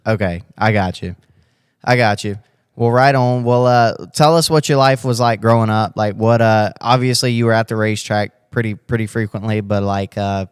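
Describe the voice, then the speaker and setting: Smooth-voiced man hosting a chat. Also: on the low side, no echo, clean studio sound. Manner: rambling, restarts questions often. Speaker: podcast host. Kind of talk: casual interview.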